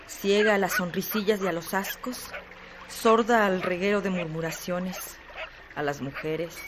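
A woman's voice: lowish voice, measured pace, background noise. A woman reading literary narration for a radio drama.

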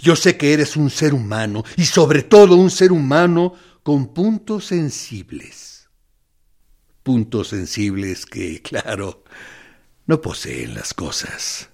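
A man's voice: mid-range voice, very animated, slow, a little mellow, heavily acted. A man acting a radio drama monologue as a menacing interrogator who feigns reason and kindness, insinuating and mock-courteous. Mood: philosophising, persuasive.

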